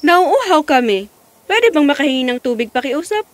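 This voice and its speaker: A woman voicing a gracious but commanding queen, regal and deliberate, in a fairy tale cartoon. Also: high-pitched, very animated, no echo. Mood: imperious.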